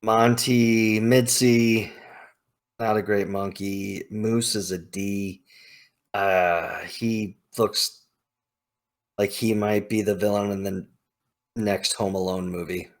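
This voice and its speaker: Slow-paced man giving casual emphatic commentary. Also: on the low side, fairly even intonation. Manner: emphatic, hyperbolic, informal slang. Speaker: video host ranking game characters. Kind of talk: casual opinionated commentary, thinking aloud.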